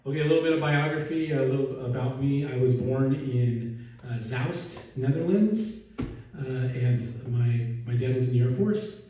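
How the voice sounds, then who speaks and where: A male pastor preaching a relaxed, joking sermon and asking his congregation questions, recorded in a church sanctuary from a distance. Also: medium pitch, measured pace, slight background noise. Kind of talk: conversational sermon. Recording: echoey hall, distant mic.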